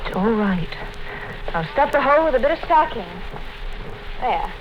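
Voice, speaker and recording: Highish female voice, affectionate practical young lover, animated intonation, 1950s radio play, noisy recording. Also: normal speed, muffled recording. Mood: tender. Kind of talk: 1950s radio drama acting.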